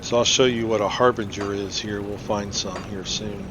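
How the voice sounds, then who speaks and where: A man's voice: fairly low pitch, fairly even intonation, normal speed, noisy background. A man giving casual, informal gameplay commentary, thinking aloud as he plays. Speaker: gamer, playthrough host.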